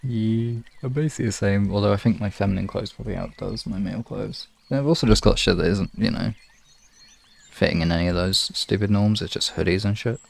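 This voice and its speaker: A man chatting casually to live viewers as a streamer, in a halting, rambling, informal way. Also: lowish voice. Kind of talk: casual livestream chat. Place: room in an apartment. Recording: clearly noisy home room recording.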